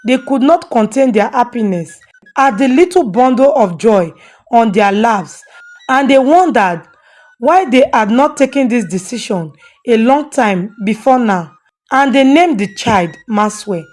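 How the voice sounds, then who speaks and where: A woman's voice: a little high, animated, a little slow, a little mellow. A woman storyteller giving a scripted narration of a folktale. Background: faint music.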